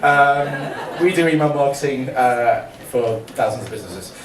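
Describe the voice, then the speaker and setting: A man's voice: medium pitch, expressive, measured pace, crowd noise. A man moderating a panel in a chatty, joking, self-deprecating way. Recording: echoey room, distant mic.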